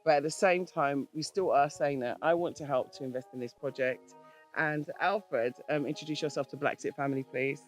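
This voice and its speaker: A woman's voice: low voice, a little fast, faint music behind, rough recording. A woman hosting a casual interview, speaking with enthusiasm and appealing for support. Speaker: host. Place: outdoors on a farm.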